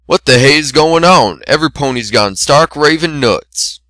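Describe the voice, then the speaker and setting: A man's voice: fairly high pitch, expressive, measured pace, sharp tone, dry recording, pitched above the performer's own voice. A man voicing a big male farm pony in a story, flustered, exasperated and pleading.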